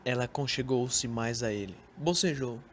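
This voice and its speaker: A man's voice: mid-range voice, moderate pace, dull audio. A young man reading scripted literary narration for an amateur radio drama. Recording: noisy amateur recording.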